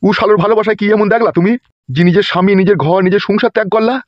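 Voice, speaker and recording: A man's voice: sits high, fairly even intonation, racing, no room sound, close to an everyday voice. A man playing a pushy, lecherous landlord in a comic cartoon, taunting and crude as he fires off questions. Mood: indignant. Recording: dull lo-fi track with music.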